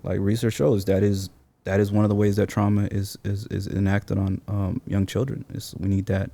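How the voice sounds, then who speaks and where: Low, mellow, even-toned man. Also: moderate pace, creaky, no room sound. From Seattle, born in Somalia. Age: 30s. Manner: thoughtful, informal slangy phrasing. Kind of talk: reflective casual interview talk.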